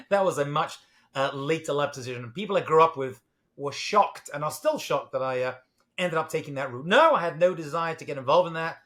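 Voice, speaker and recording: A man's voice: on the high side, a little fast, fairly bright tone. A middle-aged man from London with a British accent, talking as a guest in an interview, self-deprecating and joking, with many fillers. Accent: British English, London. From England. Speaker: rabbi, guest. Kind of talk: casual interview talk.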